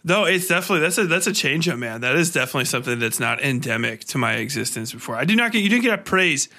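A man's voice: fairly high pitch, expressive, quick pace, pushed and bright. A man, sounding middle-aged, hosts a podcast with casual, joking, freely swearing banter. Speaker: podcast host.

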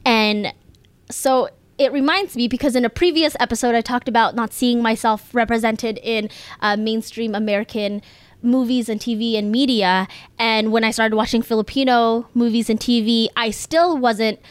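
A woman's voice: fairly high pitch, steady tone, normal speed, clear, resonant tone, no echo. A woman co-hosting a podcast in casual conversation, speaking candidly and swearing casually. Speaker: podcast co-host.

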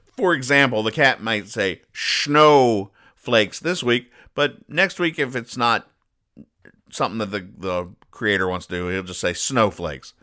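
A man hosting a podcast, explaining jokes in a mock-serious, deadpan and over-explaining way. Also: lowish voice, a little slow. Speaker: podcast host.

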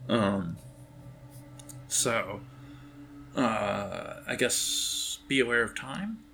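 A man narrating an improvised tabletop game as game master, in a halting, conversational way, speaking directly to his players. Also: on the low side, animated, creaky, bright, forceful tone.

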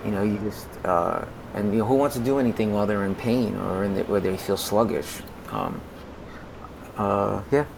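A man in his forties with an American accent and a fairly low voice talks as a guest in a conversational interview. He feels his way through sentences, often repeating words. A low rumble of noise sits behind him. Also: vocal fry. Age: 40s. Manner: halting, repeats words as he goes. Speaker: podcast guest, music consultant.

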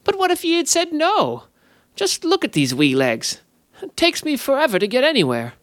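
Cheeky winged fey imp, raspy, high, sly, wheedling, storybook voice. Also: male voice, wildly swooping pitch, normal speed, fairly bright tone, dry recording, studio quality, full character voice. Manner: wheedling, falsely apologetic. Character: sly impish little male winged fey creature.

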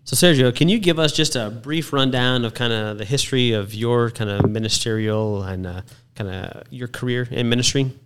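A man hosting a podcast, casual and quick to laugh as he asks questions. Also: medium pitch, slight background noise. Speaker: podcast host. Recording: guitar music behind.

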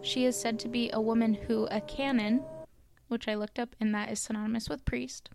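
A woman's voice: on the high side, little pitch movement, a little fast, vocal fry, music in the background. Casual podcast co-host, woman, from Canada, loose rambling storyteller. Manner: chatty, lots of likes and ums, laughs at own stumbles. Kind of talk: casual chat, telling legends.

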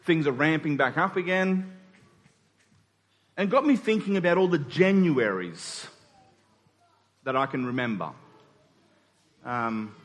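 A man in his forties with an Australian accent, preaching a sermon in a conversational, reflective storytelling way with pauses for emphasis. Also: on the high side, a bit bright. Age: 40s. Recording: long hall reverb.

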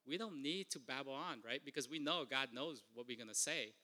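A man's voice: mid-range voice, fairly quick, pushed and bright. A man with a Vietnamese accent preaching a sermon as pastor, earnest, often repeating and restarting his words. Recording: long reverb of a large hall.

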